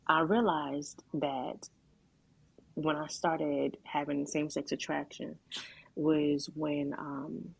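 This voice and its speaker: Deep, gravelly-voiced woman sharing her story. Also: animated, no echo, low-quality recording. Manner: candid and reflective, with halting restarts and frequent "um" and "like". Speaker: guest sharing her testimony. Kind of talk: candid conversational testimony.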